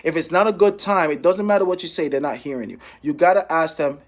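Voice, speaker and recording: A man's voice: fairly high pitch, quick pace. A man from Jamaica giving an informal motivational training talk as a sales coach, emphatic, repeating key phrases and checking in with his listeners. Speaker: financial professional coaching sales calls. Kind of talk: informal motivational training talk with role-played phone examples.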